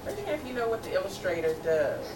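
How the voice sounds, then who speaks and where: A woman's voice: mid-range voice, sing-song, quick pace. Echoey, distant woman teacher reading aloud. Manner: encouraging, repeats pupils' answers back. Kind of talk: interactive storybook read-aloud with questions. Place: room with a group of children. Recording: distant, echoey, noisy room recording.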